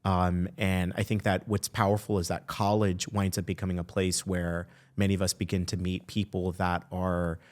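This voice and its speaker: A man from Miami hosting a podcast, sharing his own background and asking his guest measured questions. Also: low-pitched, little pitch movement. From Miami, USA. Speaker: podcast host. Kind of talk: interview conversation.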